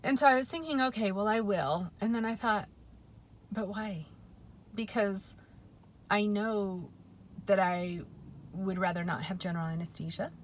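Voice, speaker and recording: A woman in her forties talking in a chatty, reflective way in a personal vlog, often pausing with um and correcting herself. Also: medium pitch, a little hiss or hum. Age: 40s. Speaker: vlogger.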